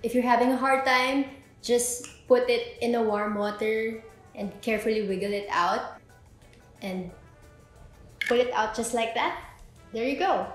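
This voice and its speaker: A woman's voice: fairly high pitch, a little slow, long pauses. A woman, presenting a step-by-step drink tutorial as host in an indoor kitchen. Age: 20s. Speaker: host of a drink recipe video. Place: indoor kitchen with bare walls. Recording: echoey room with background music. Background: music.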